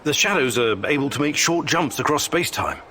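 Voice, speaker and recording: A man's voice: on the high side, animated, brisk, pushed and bright, soft background music. An actor playing a serious, weary male mission leader in a video game, with a dry, earnest, terse manner. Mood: determined. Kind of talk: video game character acting.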